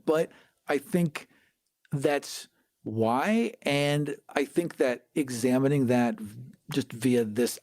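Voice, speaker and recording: A man's voice: medium pitch, a little mellow, dry recording, studio quality. A man from the United States chatting casually as a podcast co-host, arguing his points with emphasis. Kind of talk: casual chat about tabletop gaming.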